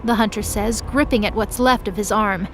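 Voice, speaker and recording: A woman's voice: mid-range voice, fairly even intonation, normal speed, over music. A woman reading scripted, dramatic story narration as the narrator of an audio drama. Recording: clear background noise under voice.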